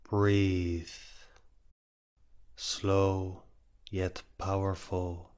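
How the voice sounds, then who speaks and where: A man leading a guided meditation in short, measured, instructive phrases with long pauses between them. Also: deep voice, flat delivery, darkish tone, clean tone.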